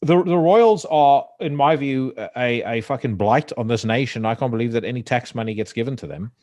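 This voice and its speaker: A podcast host speaks bluntly, with plenty of swearing and stumbling restarts. His voice sits at an ordinary middle pitch. The recording is dry and close, with no room sound. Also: brisk, warm tone, no echo. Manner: blunt, profane, opinionated with frequent restarts. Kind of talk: casual chat.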